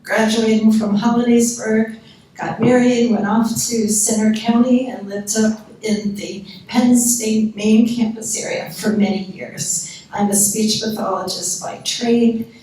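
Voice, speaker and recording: Soft-spoken woman lecturing in an echoey hall. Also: a little high, steady tone. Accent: Pennsylvania. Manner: soft-spoken, earnest, measured. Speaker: guest lecturer. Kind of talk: public history lecture. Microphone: handheld or podium microphone. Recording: echoey hall, picked up from a distance.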